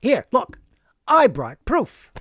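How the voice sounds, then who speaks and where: A man voicing a brash, excitable older working-class man who tells his story in animated slang. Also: extremely high pitch, slow pace, breathy edge, no room sound, studio quality, voice pushed up, full character voice. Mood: indignant.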